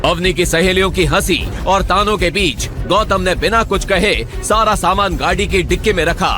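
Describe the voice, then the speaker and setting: A storytelling narrator with a fairly high male voice. He delivers a scripted romantic audio drama dramatically, with clear music underneath. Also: moderate pace, pushed and bright. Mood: dramatic.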